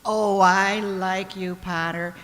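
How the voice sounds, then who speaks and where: A woman's voice: medium pitch, steady tone, takes it slowly, fairly bright tone. An actress playing a precocious, cheeky young carrot girl in a comic radio play, breezy and boastful. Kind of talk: comic radio drama acting. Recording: echoey hall with long reverb.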